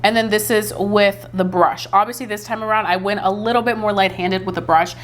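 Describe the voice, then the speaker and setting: A woman's voice: mid-range voice, fairly even intonation, fairly quick, a little hiss or hum. A woman vlogging a casual makeup review and demo. She talks in a chatty, filler-heavy, enthusiastic way and sounds a bit congested from a recent cold. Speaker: beauty vlogger.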